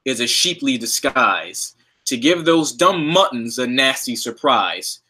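A man's voice: mid-range voice, very animated, unhurried, sharp tone, heavily acted. A man voicing a sly, boastful old wolf. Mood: grumbling. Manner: sly, self-satisfied, gleeful scheming.

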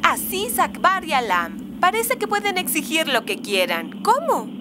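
A woman's voice: extremely high pitch, a little slow, noisy background, exaggerated voice. A woman voicing an eager, showy TV sports reporter in a cartoon dub. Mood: inquisitive. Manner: showy, excited presenting. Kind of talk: Spanish-dubbed cartoon broadcast.

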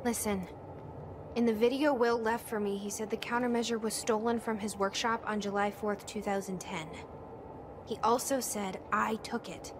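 An actress playing a grave, determined woman who believes in fate, speaking earnestly and deliberately in a cinematic video game. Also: medium pitch, fairly even intonation, noisy background, close to an everyday voice. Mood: grave, urgent.

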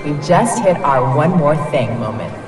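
A futuristic tech company presenter in an animated drama. Her voice is fairly low, with measured sales patter over clear background music. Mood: teasing confidence. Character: polished female corporate presenter unveiling futuristic gadgets. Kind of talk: product launch keynote, scripted. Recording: music under the voice.

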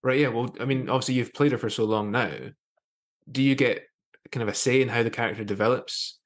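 A man's voice: mid-range voice, steady tone, fairly quick. A man in his thirties chatting as a podcast interviewer over a video call. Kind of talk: casual interview chat. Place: indoor room on a video call.

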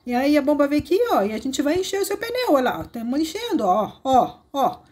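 A woman chatters with excitement as she narrates a children's game. Her voice sits high and a little hoarse, with plenty of exclamations. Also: fairly quick. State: somewhat hoarse after a cold. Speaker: host. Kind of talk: playful narrated gameplay.

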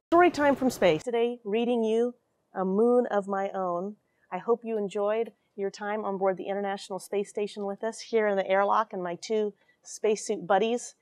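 Expressive woman storyteller for children. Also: mid-range voice. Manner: animated, expressive, addresses young listeners with questions. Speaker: astronaut reading a children's story. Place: small cramped metal space station airlock.

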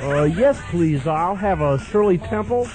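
A man's voice: high-pitched, lively intonation, a little slow, darkish tone, soft background music, low-quality recording. A man playing a naive, nervous young salesman in a comic radio play, with a hesitant, stammering manner. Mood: awkward.

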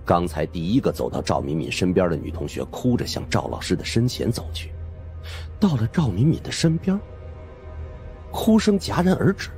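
Deep, highly animated male storyteller. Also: moderate pace, soft background music. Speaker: audiobook narrator. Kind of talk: dramatic scripted first-person suspense narration.

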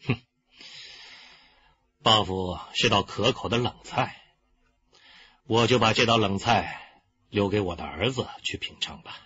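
An actor playing a young, arrogant, unrepentant killer confessing calmly in a radio crime drama. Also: male voice, lowish voice, breathy edge, muffled recording, full character voice. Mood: bitter, sardonic. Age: young adult. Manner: unrepentant, coldly deliberate.

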